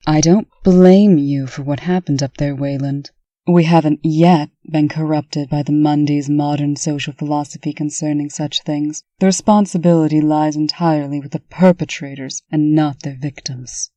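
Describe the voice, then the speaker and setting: A woman's voice: low voice, steady tone, moderate pace, mellow, no room sound, close to an everyday voice. A woman playing a wry, authoritative female administrator in a comic dub. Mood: measured, sympathetic.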